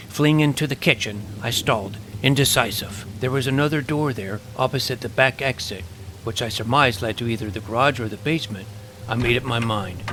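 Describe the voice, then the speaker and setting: A man's voice: medium pitch, lightly acted. Man as earnest boy vampire hunter, noisy backdrop. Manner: dramatic, earnest, bookish. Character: imaginative, serious twelve-year-old boy vampire hunter narrating. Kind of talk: scripted first-person story narration. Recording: noisy ambient background.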